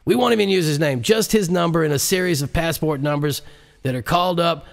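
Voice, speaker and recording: A man's voice: a little high, a little fast, gravelly, pitched above the performer's own voice. A man voicing a coolly scheming vice president with a conspiratorial, matter-of-fact manner in an audiobook.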